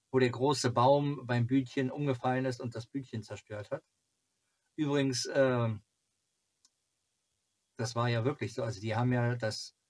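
Halting middle-aged man chatting casually. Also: medium pitch, a little mellow. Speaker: fan club video host. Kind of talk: casual chat reviewing fan merchandise. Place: small cluttered home room, indoors.

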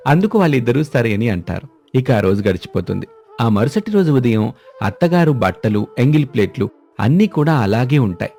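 A man's voice: mid-range voice, very animated, fairly quick, mellow, soft background music. A man narrating a scripted story as its storyteller.

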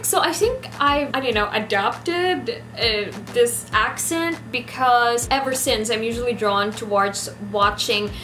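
Young woman vlogger, filipino accent, ilonggo native, kinda high voice, chatty, echoey room w music behind. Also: a little slow, hardly any pauses, pushed and bright, slight background noise. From Philippines. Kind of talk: casual listing of facts to camera. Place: small decorated room indoors. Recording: roomy echo, music behind.

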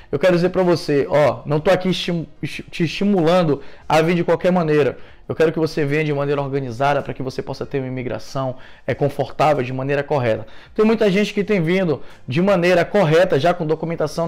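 A man's voice: on the high side, a little mellow. A man in his thirties with a Brazilian Portuguese accent, earnestly giving immigration advice to camera from his living room on a lapel mic. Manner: earnest, explanatory, gestures a lot with his hands. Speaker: immigration vlogger. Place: living room at home, indoors.

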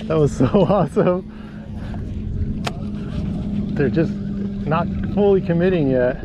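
Excitable-sounding man over loud boat noise. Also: on the high side, slow, muffled recording. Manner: exclamatory, slangy, clipped remarks. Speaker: angler filming a fishing trip. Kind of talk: casual chat while fishing. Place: outdoors on a small open boat at sea. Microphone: action camera worn on the body. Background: sea, boat and wind noise.

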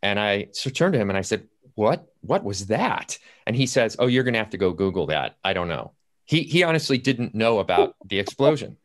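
A man's voice: on the low side, big pitch swings, brisk. An older man, a playwright, telling a story casually as a panelist on a video call. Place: indoors at home on a video call.

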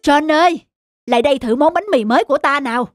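Woman voicing a heavyset cartoon restaurant boss, high-pitched. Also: fast talker, faint music behind, lightly acted. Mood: curious, pleased. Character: glum, heavyset male restaurant owner seeking a fix. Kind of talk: cartoon story acting.

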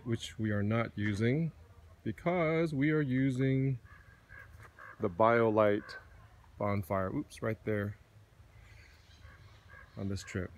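A middle-aged man casually walking through his outdoor campsite, filming on a handheld phone and pointing out gear, sometimes correcting himself. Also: medium pitch. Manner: unhurried, pointing things out, small self-corrections. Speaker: camper giving a campsite tour. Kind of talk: casual walkthrough narration. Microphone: handheld phone camera, speaker behind it.

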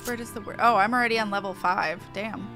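Bright-voiced woman streaming a game. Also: medium pitch, fairly even intonation, fast, faint music behind. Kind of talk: casual gameplay commentary.

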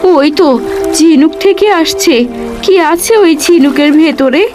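A woman playing a caring village wife and mother in a cartoon, expressive and easily amazed. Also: high-pitched, measured pace. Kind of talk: cartoon story dubbing. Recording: loud music behind the voice. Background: music.

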